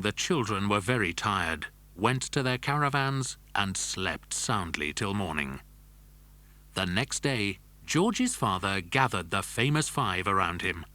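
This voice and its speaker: A man's voice: fairly low pitch, bright, forceful tone. A man narrating a scripted children's adventure story.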